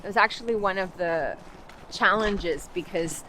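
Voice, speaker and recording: A woman's voice: mid-range voice, moderate pace, bright, forceful tone, a little hiss or hum, poor audio. A young adult woman from Canada, a language coach, talking casually on a walk outdoors, with lots of "like" fillers and restarts. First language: English. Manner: chatty, many "like" fillers and restarts. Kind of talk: casual walking conversation. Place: outdoors in a park.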